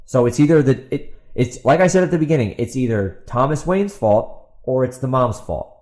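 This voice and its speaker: A man with a mid-pitched voice delivers an unscripted solo podcast rant. He sounds exasperated and sarcastic, repeating himself for emphasis and firing rhetorical questions at someone who is not there. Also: lively intonation, moderate pace, a little mellow. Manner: rhetorical questions put to an absent opponent. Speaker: podcast host and author. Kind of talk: ranting opinion monologue, off the cuff.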